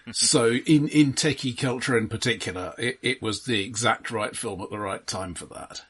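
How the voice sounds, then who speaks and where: A male podcast co-host with a husky, slightly rough voice at an average pitch. He chats casually about films, restarting and repeating words as he builds a point. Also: a bit bright. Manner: halting, repeats words as he explains. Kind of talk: casual film discussion.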